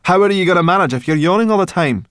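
A man's voice: mid-range voice, expressive, normal speed, dry recording, studio quality, voice pushed up, close to an everyday voice. A man voicing a brash, bossy young female fairy creature who grumbles and exclaims, in a storybook reading. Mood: exasperated scolding.

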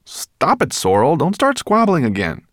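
Man voicing an eager, excitable young boy. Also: lowish voice, fast talker, gravelly, no echo, clean studio sound, exaggerated voice. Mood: casual. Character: excitable, curious young boy, friendly dragon rider. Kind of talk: audiobook character dialogue.

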